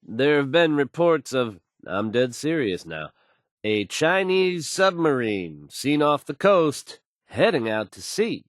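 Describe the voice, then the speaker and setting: An actor playing a confident, smooth male radio news host in a video game, folksy and dramatic in his announcing. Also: medium pitch, big pitch swings, slow pace, dry recording, clean studio sound. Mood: showy intrigue.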